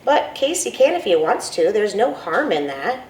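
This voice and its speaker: A calm, easygoing dad who takes a moment before he answers, as a woman reads him. The voice rises and falls freely, and the room around it rings. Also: medium pitch, normal speed, slight background noise, lightly acted. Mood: gentle. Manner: thoughtful, easygoing. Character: calm, gentle father. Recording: roomy, from a distance, faint ambience.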